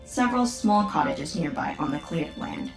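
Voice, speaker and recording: A woman voicing a literal-minded female AI assistant who speaks in measured, formal phrases in an audio drama. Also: sits low, expressive, over music, poor audio. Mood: calm, precise.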